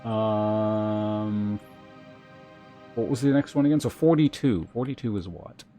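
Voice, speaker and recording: A man hosting a livestream, chatting casually and reading aloud in a halting, measured way. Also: fairly low pitch, fairly even intonation, warm tone. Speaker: live streamer host. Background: music.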